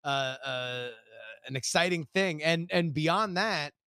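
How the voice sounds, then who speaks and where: An American man co-hosting a podcast in casual chat, halting often and circling back over his words. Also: on the high side, sharp tone, smooth, no room sound, studio quality. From United States. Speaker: podcast co-host.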